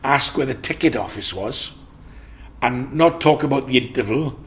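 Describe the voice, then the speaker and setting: An older man with a Welsh accent, reminiscing about his acting career as a warm storyteller who often restarts his sentences. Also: mid-range voice, normal speed. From Swansea, Wales. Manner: warm storyteller, brightens and gets louder when enthusiastic, frequent restarts. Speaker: actor recalling his theatre career. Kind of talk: reminiscing in an interview.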